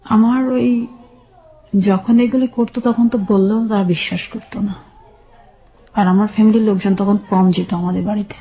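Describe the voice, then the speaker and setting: Middle-aged Bangladeshi woman, mellow and level in pitch, giving sombre personal testimony as a radio guest. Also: fairly even intonation, moderate pace, long pauses, poor audio. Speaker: guest telling her life story.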